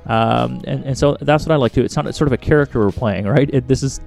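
Fast-talking gravelly podcast co-host man. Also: medium pitch, over music. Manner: rambling, restarts often, jokey. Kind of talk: casual chat. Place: small podcast studio.

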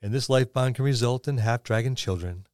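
Male co-host for a casual gaming podcast, average pitch, wry and quick to quip, very dry room sound. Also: darkish tone, no room sound. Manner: wry, quipping. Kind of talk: casual chat about tabletop roleplaying.

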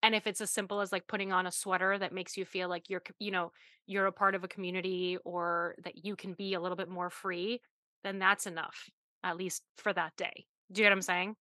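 A woman's voice: lowish voice, level tone, bright, forceful tone, dry recording, studio quality. A woman born in the United States hosting a podcast, talking candidly and emphatically in casual conversation. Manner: candid, emphatic, quick asides. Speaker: podcast host.

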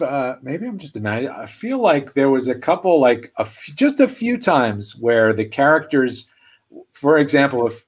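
A man recalling past work in a relaxed group chat, hesitant and rambling. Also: medium pitch. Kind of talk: casual group video chat.

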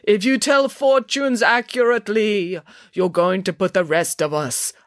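A woman voicing a grand, stern fortune-teller sorceress with a resonant, booming voice and slow, theatrical intoning. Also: fairly even intonation, no echo, clean studio sound, close to an everyday voice. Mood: intoning, imperious. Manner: slow, drawn-out, imperious intoning.

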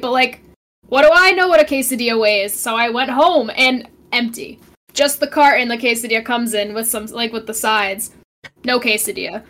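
A young woman co-hosting a laid-back podcast, her voice sitting a touch high. She tells stories with energy and acts out what she and others said. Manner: animated storytelling, acts out her own quotes. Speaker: podcast co-host. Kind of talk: casual chat.

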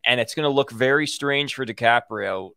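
A man's voice: mid-range voice, moderate pace, vocal fry, pushed and bright, clear, resonant tone, dry recording, studio quality. A man with a young-sounding voice co-hosting a casual podcast, rambling with lots of "like" fillers and the odd joke. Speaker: podcast co-host.

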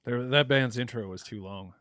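A man's voice: medium pitch, normal speed, fairly bright tone, no echo, studio quality. A man co-hosting a casual podcast, joking and rambling, often trailing off into mumbles. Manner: jokey, rambling, drops to mumbles. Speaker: podcast co-host. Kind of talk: casual chat.

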